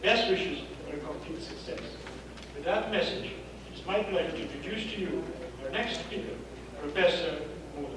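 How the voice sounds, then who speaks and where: A man's voice: fairly high pitch, moderate pace. A man from Guyana addressing a conference, reading letters aloud with wry asides to the audience. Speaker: conference speaker reading messages. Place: conference hall. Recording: echoey hall, distant mic, noisy.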